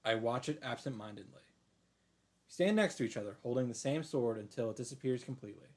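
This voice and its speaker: A man's voice: mid-range voice, expressive, brisk. A man reading visual novel narration aloud in a dramatic style, reacting off the cuff.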